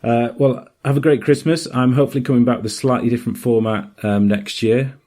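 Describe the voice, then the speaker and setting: A man's voice: medium pitch, fairly even intonation, brisk, mellow. A man podcast host giving a casual solo recommendation, enthusiastic but tentative in his phrasing. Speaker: podcast host reviewing comics.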